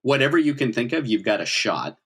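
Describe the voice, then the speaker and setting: A man's voice: medium pitch, very animated, brisk, a bit bright, husky. A man narrating and refereeing a tabletop roleplaying game as game master in a conversational, explanatory way.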